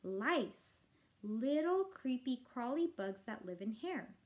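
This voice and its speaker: A woman's voice: high voice, big pitch swings, takes it slowly, full character voice. A woman voicing an exasperated, sarcastic school-age boy, a grumbling older brother in a storybook reading.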